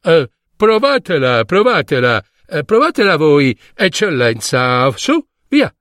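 A man's voice: on the high side, sing-song, slow, a bit bright, no room sound, studio quality, full character voice. Man playing a cautious, stubborn storybook king. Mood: nervous urging. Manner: regal, repeating himself, hesitant. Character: cautious, stubborn old-fashioned king who prefers walking.

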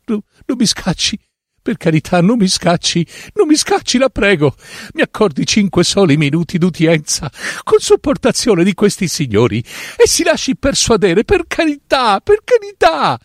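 A man's voice: high-pitched, very animated, normal speed, no room sound, clean studio sound, pitched above the performer's own voice. A man voicing a desperate male character, a doctor, who pleads and then protests indignantly in a dramatic story reading. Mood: pleading.

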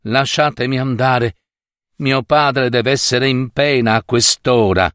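Young storybook prince, played by a man: wounded, courteous, earnest, unhurried, recorded close and dry. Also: mid-range voice, fairly bright tone, no echo. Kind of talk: fairy-tale storytelling.

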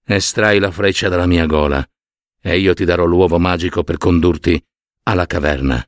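A man voicing a wise, generous python in a folk tale, speaking in a grave, measured, admonishing way. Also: low voice, lively intonation, no echo, studio quality, voice pushed down. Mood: sorrowful, solemn.

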